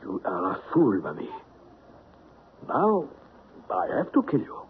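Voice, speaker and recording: Evasive French spy, suave, theatrical, swooping intonation, old radio mystery drama, thin phone-like sound. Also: male voice, mid-range voice, huge pitch swings, measured pace. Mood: cold, menacing. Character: sly, evasive French spy posing as helpful ally. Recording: tinny, noisy old radio recording.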